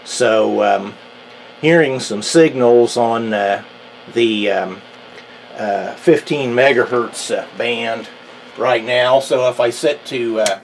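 A middle-aged man giving an unscripted equipment demonstration, halting and hesitant, with very frequent ums and pauses. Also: medium pitch, a little hiss or hum, poor audio. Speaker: radio collector demonstrating a receiver. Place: indoors at a radio bench.

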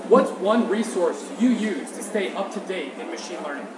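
A man hosts a quick-fire interview, delivering short prepared questions. His voice is echoey, with clear outdoor ambient noise around it. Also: high voice, animated. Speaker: interviewer, host. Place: outdoor city walkway.

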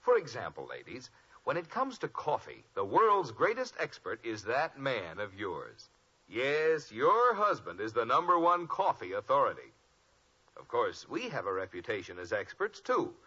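Husky, animated American radio pitchman. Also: normal speed. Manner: emphatic, persuasive. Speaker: announcer reading sponsor advertisement. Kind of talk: 1950s radio commercial delivery. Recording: dull vintage radio recording.

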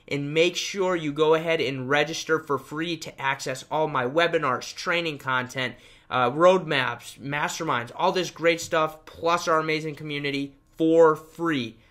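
A man's voice: on the high side, bright, forceful tone. A young man hosting a casual screen-share tutorial, talking in an upbeat, emphatic way like a salesman. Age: young adult. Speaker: tutorial host and web design trainer.

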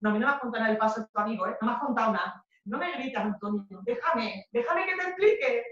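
A woman's voice: a little high, animated, fast talker, a bit bright. A woman with a Spanish accent from Spain playing a frightened, pleading young girlfriend in a theatrical monologue, repeating herself defensively. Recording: very echoey room, distant muffled microphone.

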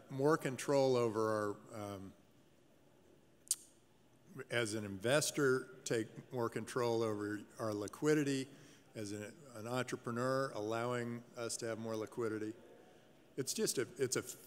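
A man on a conference panel, speaking with enthusiasm and many pauses and fillers. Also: mid-range voice. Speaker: venture investor panelist. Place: large conference hall stage. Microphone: table mic. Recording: hall reverb, faint room noise.

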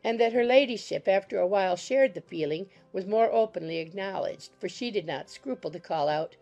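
A woman's voice: medium pitch, brisk, darkish tone, faint music behind. A woman reading scripted literary narration as the narrator of an audiobook.

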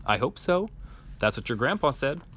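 A man's voice: medium pitch, big pitch swings, normal speed, a bit bright, voice pushed up. A man voicing a patient, encouraging, hopeful father in a storybook, reassuring and upbeat.

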